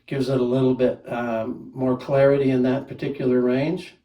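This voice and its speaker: A man's voice: medium pitch, little pitch movement, normal speed, mellow, roomy sound, far from the mic, rough recording. A man casually reviewing a microphone, talking plainly and counting off mic tests. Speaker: gear reviewer. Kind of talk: casual product demo with mic checks.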